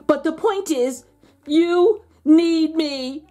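A woman's voice: high-pitched, very slow, warm tone, pitched above the performer's own voice. A woman voicing an indignant, self-pitying pet dog in a children's storybook, pleading with dramatic emphasis. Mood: indignant complaining. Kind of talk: children's storybook read-aloud.

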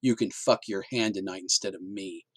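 A man's voice: sits low, steady tone, moderate pace, no echo. A man reading aloud and voicing a defiant, protective middle-aged mother who speaks indignantly and firmly. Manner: indignant and firm, raised voice.

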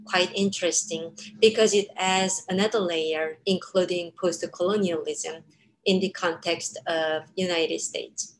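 A woman in her thirties, a native Korean speaker with a Korean accent, giving a measured academic webinar lecture over a video call, with frequent ums. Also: lowish voice, steady tone, poor audio. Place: home room. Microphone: laptop webcam on a video call.

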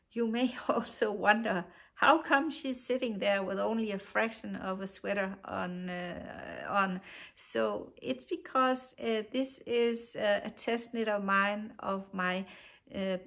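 A middle-aged woman with a Danish accent, hosting her knitting podcast from a sofa at home, talking slowly with frequent pauses and fillers. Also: medium pitch, creaky, fairly bright tone. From Denmark. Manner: slow, thoughtful, many pauses and filler sounds. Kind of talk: casual chat to camera. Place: living room, seated on a sofa.

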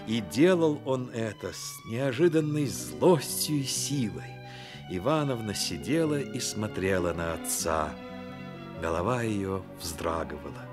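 A man narrating a radio play as a dramatic storyteller, measured and expressive. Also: on the low side, music in the background.

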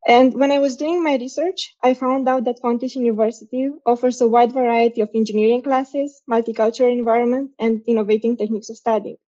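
A young woman from Romania, a software engineer, telling her career story in an earnest, reflective way over a video call. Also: fairly high pitch. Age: young adult. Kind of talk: personal career story talk. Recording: muffled video call audio.

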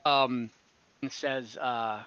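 A young man with a bright, forward voice narrates an online tabletop game as its game master. He talks in a relaxed, thinking-aloud way with fillers. The sound is dull and lo-fi, as on a video call. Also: medium pitch, very slow, clean tone.

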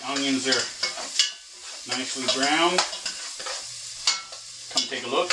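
A man's voice: medium pitch, takes it slowly. A middle-aged man casually talking through a home cooking demo, joking and bantering offhand. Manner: joking, offhand, bickers playfully. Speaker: trucker vlogger cooking at home. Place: small home kitchen. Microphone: camera across the room. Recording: echoey kitchen with cooking noise.

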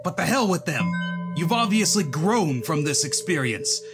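A man playing an earnest, pleading psychiatrist with a dramatic, urgent manner in a comic book dub. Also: fairly high pitch. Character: earnest, pleading male psychiatrist, desperate and scheming. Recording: loud music bed behind the voice.

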